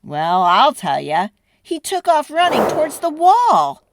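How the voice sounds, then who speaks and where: A woman hosts a children's read-aloud in an expressive storytelling style. Her voice is a bit high and swings widely, and she takes her time with dramatic phrasing at a very unhurried pace. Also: no echo, clean studio sound. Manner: animated, deliberate, dramatic phrasing.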